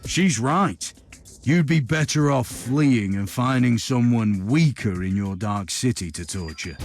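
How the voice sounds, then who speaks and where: An actor playing a steadfast inquisitor in a grim sci-fi game, measured and dryly humorous. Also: male voice, mid-range voice, animated. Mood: resolute. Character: steadfast, dry-humoured male inquisitor, grim sci-fi hero. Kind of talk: dramatic video game acting. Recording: loud music over the voice.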